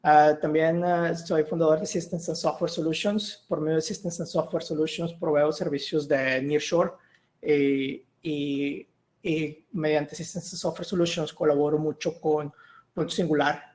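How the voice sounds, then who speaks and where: A middle-aged man from Mexico, giving a tech talk on a video call, relaxed and full of "eh" fillers. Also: a little high, measured pace. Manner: relaxed, with many "eh" and "ah" fillers and restarts. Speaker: guest speaker, solution architect. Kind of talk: online tech meetup presentation.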